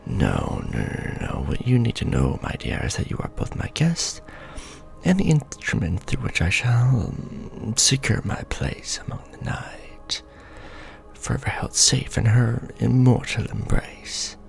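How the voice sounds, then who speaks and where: A man's voice: lowish voice, big pitch swings, a little slow, vocal fry, soft background music, exaggerated voice. A man playing an ancient, pompous, scheming vampire lord in a theatrical, courtly ASMR roleplay monologue. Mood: scheming, intense.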